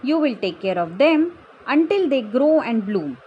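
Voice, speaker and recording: A woman's voice: sits high, sing-song, measured pace, highs cut off, a little hiss or hum. A woman telling a children's story, sweetly encouraging and speaking straight to young listeners with little questions and asides. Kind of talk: bedtime story narration for kids.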